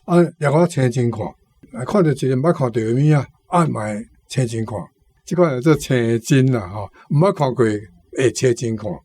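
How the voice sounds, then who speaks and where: A man with a Taiwanese accent giving informal commentary, explaining in a halting, repetitive way. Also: mid-range voice, expressive, darkish tone.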